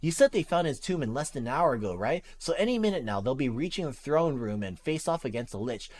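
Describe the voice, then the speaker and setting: A reader voicing an easygoing young male gamer who talks in a relaxed, casual way. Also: mid-range voice, fast, close to an everyday voice.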